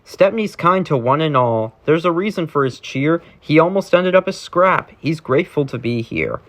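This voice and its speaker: Man, slightly high-pitched voice, storybook reader, rhyming verse, sing-song, expressive. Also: moderate pace. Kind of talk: rhyming children's read-aloud.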